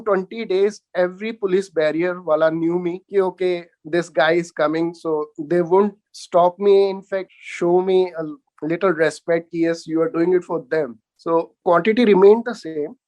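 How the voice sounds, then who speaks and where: A man's voice: high-pitched, a little mellow. A man with an Indian accent, speaking conversationally as a panelist recounting his own work. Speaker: panelist, animal feeding entrepreneur.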